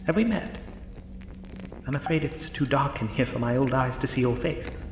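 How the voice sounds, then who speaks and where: A man's voice: mid-range voice, moderate pace, close to an everyday voice. A man playing a pious, compassionate old priest in a horror audio drama, speaking earnestly and solemnly with growing alarm. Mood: gentle, coaxing. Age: older. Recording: echoey cavernous reverb, loud background noise.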